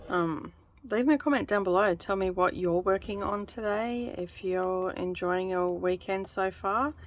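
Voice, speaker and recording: A woman chatting in a relaxed, rambling way while she stitches for her craft vlog. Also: medium pitch, measured pace, slight background noise. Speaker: craft vlogger. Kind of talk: casual chat while stitching.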